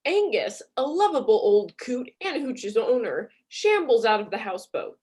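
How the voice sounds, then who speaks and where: A woman narrating a comedy radio play with sass and mock drama. Her voice sits a bit high and moves around a lot. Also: big pitch swings, normal speed, a little mellow, breathy edge.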